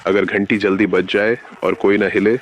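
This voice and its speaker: A man narrating a scripted analog horror safety bulletin in a formal, measured announcer manner. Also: fairly low pitch, level tone, a little hiss or hum.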